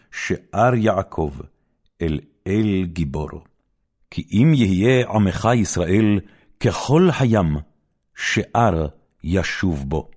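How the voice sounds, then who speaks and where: A man reading scripture aloud as a solemn, declamatory recitation. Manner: solemn, declamatory, with wide swings of pitch and loudness. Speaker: Bible reader.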